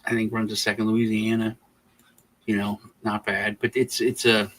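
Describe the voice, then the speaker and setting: A man's voice: on the low side, little pitch movement, moderate pace, a bit bright, low-quality recording. A man from Texas who grew up partly in Germany, chatting casually as a podcast host in a rambling way, often restarting his phrases. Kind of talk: casual political chat.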